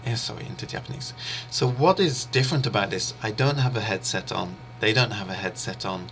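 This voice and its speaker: A man, a native English speaker, teaches an online interpreting lesson in a measured, patient way. His voice sits low yet sounds bright and forward. He pauses often and fills gaps with small ums and uhs. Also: a little hiss or hum. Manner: measured, steady explaining with frequent pauses and fillers. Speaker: interpreting instructor. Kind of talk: explanatory online lecture.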